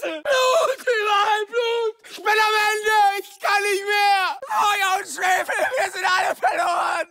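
Screaming, panic-stricken soldier. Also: male voice, extremely high pitch, bright, forceful tone, full character voice. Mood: anguished. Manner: screaming, pleading, anguished. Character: panicking, despairing German soldier in brutal combat. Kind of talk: shouted video game battle voice lines.

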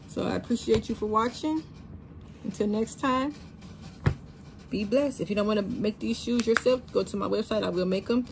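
A woman's voice: medium pitch, slight background noise. A woman, chatting casually to viewers as she demonstrates shoe decorating in her craft studio. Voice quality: slightly raspy. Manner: chatty, talks to viewers as y'all. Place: craft studio with sewing and embroidery machines. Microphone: camera over worktable.

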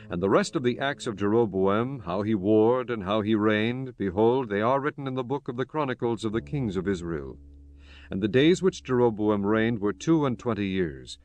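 Low-voiced solemn male scripture narrator. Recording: music bed behind the voice.

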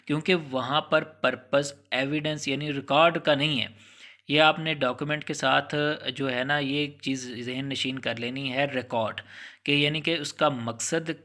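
A man with a Pakistani accent teaches in a bright, forceful voice at a middling pitch. He explains things informally, like a lecturer talking straight to students, and drops in English legal terms as he goes. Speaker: law lecturer.

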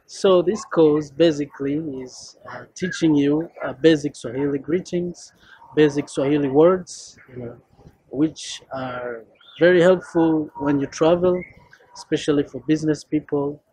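A young Kenyan man speaks in a husky, slightly raised voice, easygoing and full of fillers. He introduces his language course like a friendly teacher. He is recorded outdoors on a rooftop at arm's length from the camera. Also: on the high side, a little slow, dark tone, poor audio. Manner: friendly, loose, with many fillers. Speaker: Swahili teacher.

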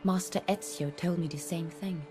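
Woman acting young assassin woman in a game, really low solemn voice, determined and intense, music under it. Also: fairly even intonation, normal speed, lightly acted. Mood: somber. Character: young vengeful female assassin, determined, grave. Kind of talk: dramatic game cutscene dialogue and narration.

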